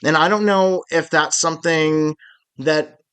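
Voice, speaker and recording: A man's voice: on the high side, fairly even intonation, a little slow, fairly bright tone, clear, resonant tone. A man co-hosting a podcast, chatting and thinking aloud with lots of fillers. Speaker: podcast co-host. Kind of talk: casual podcast chat.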